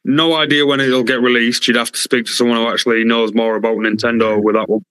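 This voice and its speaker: A man's voice: mid-range voice, little pitch movement, fast talker, a bit bright, clear, resonant tone, no room sound. A man joking crudely in casual chat with a co-host.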